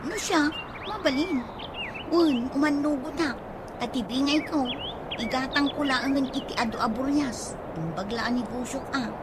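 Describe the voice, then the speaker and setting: An inquisitive Ilocano wife acted by a woman in a radio comedy drama. Her voice is very high. Birdsong and noise are clearly heard behind her. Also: moderate pace, natural voice. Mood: agreeing.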